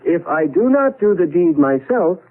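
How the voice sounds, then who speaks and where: Thin, tinny radio sound frames a wry, bewildered husband. His fairly high male voice is earnest and emphatic, with the stagey delivery of a 1970s radio play. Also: big pitch swings, normal speed. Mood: declamatory. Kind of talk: 1970s radio drama acting. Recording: tinny, band-limited vintage radio recording.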